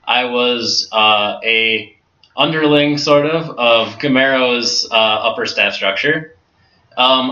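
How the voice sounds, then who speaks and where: A man with a bright, forceful voice explains things step by step as a podcast guest, pausing on ums. The sound is roomy and slightly dull. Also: mid-range voice. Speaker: podcast guest and tournament staffer. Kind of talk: casual podcast talk.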